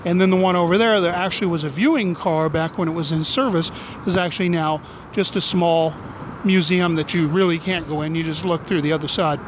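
A middle-aged man with a fairly high voice narrates a casual walking tour. He rambles along in a jokey, offhand way as a vlog host. He is outdoors on a handheld camera, with clear street and traffic noise around him. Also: steady tone, a little fast. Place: outdoors on city back streets. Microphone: handheld camera at arm's length.

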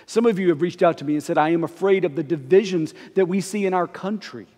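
A middle-aged man, a pastor, preaching a conversational, expressive sermon while standing before the altar. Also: high voice, quick pace. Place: church sanctuary before the altar. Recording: long reverb of a church sanctuary.

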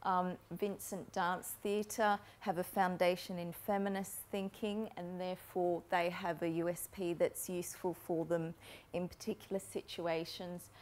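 A woman's voice: fairly low pitch, little pitch movement, a little slow, a little mellow. A woman in her forties giving a conference talk in a lecture room on a lapel mic, explaining steadily with frequent ums. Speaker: keynote speaker on marketing. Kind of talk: conference presentation. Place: lecture room with a projector screen.

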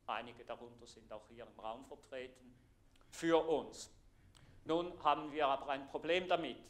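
A middle-aged man from Thurgau with a Swiss German accent, giving an earnest conference presentation in a lecture room with plenty of filler pauses. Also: mid-range voice, a bit bright. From Thurgau, Switzerland. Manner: earnest, explanatory, pausing with fillers. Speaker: IT architect giving a conference talk. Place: lecture room, standing before a projected slide.

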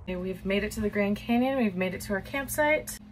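A woman's voice: mid-range voice, quick pace, music in the background. A woman filming a casual travel vlog on her phone outdoors at a campsite, chatty and awed by the view. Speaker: travel vlogger. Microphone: handheld phone.

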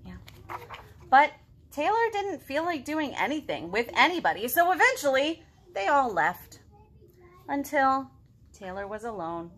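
A woman's voice: high-pitched, sing-song, measured pace, long pauses, fairly bright tone, a little hiss or hum. A woman in her thirties hosting a read-aloud storytime, talking expressively and directly to young children. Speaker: children's librarian, storytime host. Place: living room at home.

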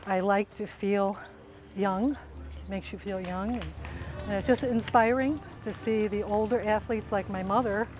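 A woman's voice: medium pitch, fairly even intonation, a little slow. A woman in her fifties talks with enthusiasm about competing as a masters athlete. Background music plays under her voice. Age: 50s. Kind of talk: documentary interview.

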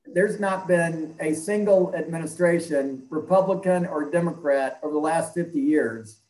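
A man in his sixties giving measured, halting answers as an interview guest over a video call from an indoor room. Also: fairly high pitch, a little mellow, reverberant, poor audio. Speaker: interview guest, health clinic leader and doctor.

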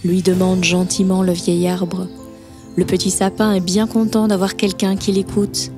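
A woman's voice: fairly low pitch, level tone, normal speed. A woman narrating a scripted children's story as a storyteller. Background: soft background music.

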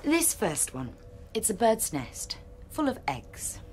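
A woman playing a brisk, coolly authoritative research doctor in a radio drama, speaking with clinical precision. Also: medium pitch, huge pitch swings, a little mellow, faint music behind, poor audio. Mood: testing, controlled.

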